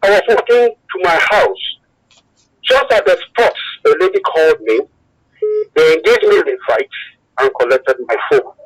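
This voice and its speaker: A man's voice: fairly high pitch, sing-song, normal speed. A Nigerian-accented man calling a radio phone-in, giving impassioned opinions emphatically and repeating his phrases over a phone line. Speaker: phone-in caller, broadcast journalist.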